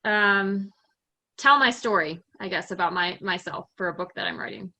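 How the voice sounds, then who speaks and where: A middle-aged woman chats casually as an interview guest, filling her talk with "like" and laughing easily. Her voice is bright and clear. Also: mid-range voice, moderate pace. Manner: chatty, frequent "like" fillers, laughs easily. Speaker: interview guest, software company employee. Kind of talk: casual conversation.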